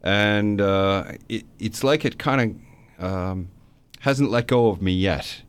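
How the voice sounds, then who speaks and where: A man with a low, smooth, resonant voice speaks as a guest in a radio studio. He talks in a relaxed, halting way, with plenty of "uh"s. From Canada. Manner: relaxed, hesitant, many fillers. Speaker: author guest. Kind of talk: radio interview.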